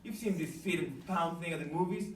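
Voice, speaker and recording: Peruvian man, Spanish-accented comic, high animated voice, far from the mic in a roomy hall. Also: fairly quick. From Peru. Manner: animated, playful, laughing. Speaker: comedian performing live. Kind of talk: stand-up sketch with audience interaction. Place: live stage show. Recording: distant stage mic, roomy with loud noise.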